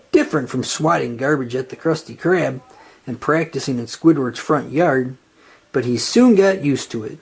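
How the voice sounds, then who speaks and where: A narrator reading a children's storybook aloud in an animated style. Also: mid-range voice, husky.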